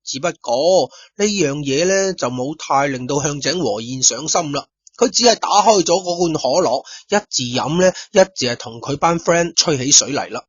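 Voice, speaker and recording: Chatty guy narrating a story, husky voice, muffled lo-fi recording. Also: on the high side, lively intonation, normal speed, hardly any pauses, no echo. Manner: chatty, conversational asides to listeners. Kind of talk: storytelling narration of a radio drama. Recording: dull lo-fi recording.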